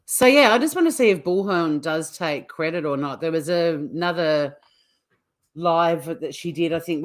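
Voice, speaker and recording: A woman hosting a casual livestream, chatting to viewers in an informal, teasing way. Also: low voice. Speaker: livestream host.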